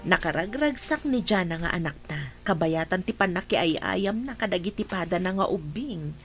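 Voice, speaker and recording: A woman's voice: fairly low pitch, lively intonation, moderate pace, faint music behind, lightly acted. A woman acting as a caring mother and wife in a radio play, polite and grateful. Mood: calm.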